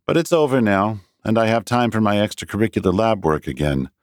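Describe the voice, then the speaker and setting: Brief: a man playing a weary young doctor, clipped and guarded, lively pitch. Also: a little fast, no echo, clean studio sound. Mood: terse. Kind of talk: audiobook character reading.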